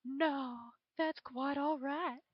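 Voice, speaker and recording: A woman doing a soft-spoken hero guy. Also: high-pitched, steady tone, extremely slow, no echo, highs cut off. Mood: tender. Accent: Southern US. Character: smooth, gentle male alien hero.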